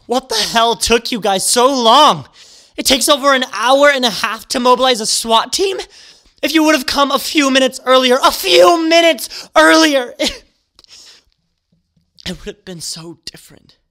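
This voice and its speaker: An actor playing a traumatized, bitter survivor of a hostage ordeal, narrating intensely in the first person in an audio drama. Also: male voice, very high voice, animated, moderate pace, pushed and bright, heavily acted. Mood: angry, anguished.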